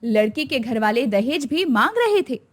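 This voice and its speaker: A woman narrates a scripted bedtime story. Her voice is high and animated, moving expressively through each sentence. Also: moderate pace.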